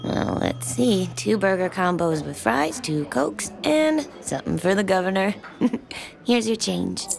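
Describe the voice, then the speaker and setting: An actress playing a forthright small-town diner waitress in an audio drama, wry, folksy and warm-hearted. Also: female voice, mid-range voice, big pitch swings, measured pace, creaky, heavily acted. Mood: friendly, brisk. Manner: teasing, folksy, direct. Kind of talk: naturalistic audio drama dialogue.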